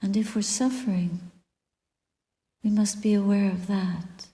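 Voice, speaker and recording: A woman guiding a meditation as a Buddhist teacher, speaking softly and deliberately in short phrases with long pauses and breathy endings. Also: medium pitch, flat delivery. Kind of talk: guided meditation, spoken dharma reflection. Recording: echoey room with long reverb.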